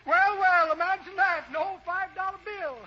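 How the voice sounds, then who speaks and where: A man's voice: very high voice, a little slow, sharp tone, full character voice. An actor playing a boastful, shifty magician and con man with pompous, florid patter in an old American radio comedy. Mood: rambling, evasive. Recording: muffled, hissy old-time radio recording.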